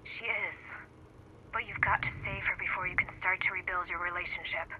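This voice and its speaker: Pragmatic female agent, calm, loyal, phone-thin radio filter, faint noise, game cutscene. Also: fairly low pitch, fairly quick, highs cut off. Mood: supportive. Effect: radio communicator filter. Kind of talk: video game cutscene acting.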